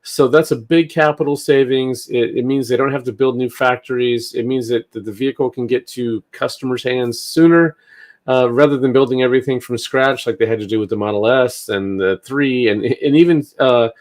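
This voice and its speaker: A man's voice: medium pitch, fairly even intonation, moderate pace, a little mellow. A man hosting a casual news discussion, talking in a hesitant, think-aloud way. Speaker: talk show host.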